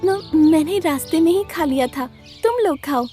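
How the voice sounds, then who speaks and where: A woman's voice: high-pitched, moderate pace, dark tone, faint music behind, pitched above the performer's own voice, natural voice. A woman voicing a kind, selfless mother bird in a cartoon story, tender and motherly.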